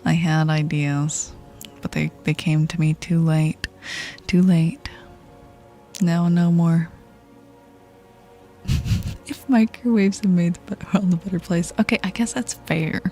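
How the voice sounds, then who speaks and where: A woman with a deep voice streaming ASMR, soft-spoken and whispering, giggly and playful as she chats. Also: creaky.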